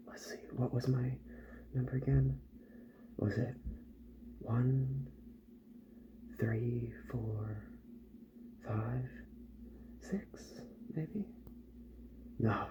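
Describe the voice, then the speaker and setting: A man playing a calm, attentive robot repair doctor in a soft-spoken ASMR roleplay, hushed and unhurried, often slipping into a whisper. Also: mid-range voice, natural voice. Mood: musing, pondering. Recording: dull sound, loud background noise.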